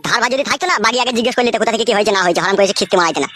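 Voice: mid-range voice, rapid-fire, background noise, natural voice. A performer voicing an angry, stubborn, foul-mouthed young son-in-law who scolds and argues in a comedy cartoon. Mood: scornful, angry. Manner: scolding, argumentative, crude.

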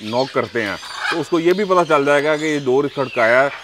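Calm man from Pakistan explaining at length. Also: fairly high pitch, moderate pace, slight background noise. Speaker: parrot breeder. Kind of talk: explanatory interview answers.

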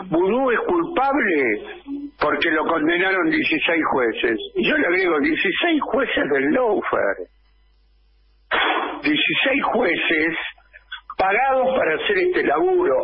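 A man in his sixties with an Argentine accent, delivering an emphatic political editorial in a small radio studio with headphones and a boom mic. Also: breathy edge. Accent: Argentine Spanish. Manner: slow, emphatic, insistent, with frequent "eh" fillers. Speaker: radio commentator. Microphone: studio mic on boom arm, wearing headphones. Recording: dull lo-fi radio sound.

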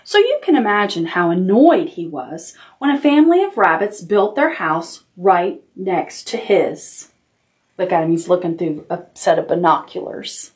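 A woman's voice: lowish voice, expressive, measured pace, echoey room, distant mic. A middle-aged woman hosting a preschool storytime, speaking in simple, encouraging phrases and repeating herself for young listeners. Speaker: library storytime host for preschoolers. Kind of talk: children's storytime, instruction and read-aloud. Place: indoor room decorated with stuffed toys.

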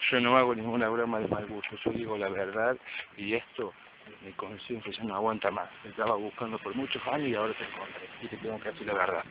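A man's voice: fairly low pitch, fairly even intonation, fast, natural voice. An amateur man actor playing a guarded, grave hooded informant in a detective radio play. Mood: grave, secretive. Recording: muffled, noisy amateur recording.

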